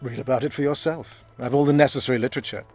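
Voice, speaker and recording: An actor playing a condescending, scholarly court sorcerer in a video game, pompous, silky and mock-courteous. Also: male voice, mid-range voice, steady tone, racing, a little mellow, soft background music, lightly acted. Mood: lecturing. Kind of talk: video game dialogue acting.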